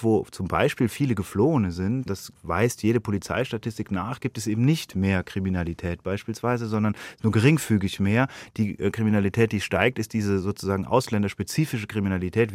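A man with a fairly low voice talks as a guest in a radio interview. He is thoughtful and explanatory, often breaking off to rephrase. The studio sound is very dry. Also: darkish tone, dry recording. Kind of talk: reflective spoken interview.

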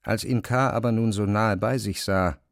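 A man's voice: lowish voice, fairly even intonation, moderate pace, no room sound, studio quality. A man narrating literary prose as the storyteller of an audio drama.

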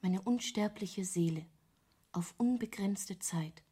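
A woman's voice: mid-range voice, slow pace, warm tone, husky. An actress playing a hesitant young woman painter in a radio drama, questioning and doubtful as she bargains. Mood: anxious, hushed.

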